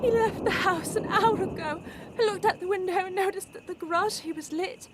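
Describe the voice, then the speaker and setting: Shrill, distraught, frightened woman. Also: a little fast, noisy background. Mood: shaken. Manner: gasping, tearful, breathless delivery. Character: distraught, frightened woman who found a body. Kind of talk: old-time radio horror acting.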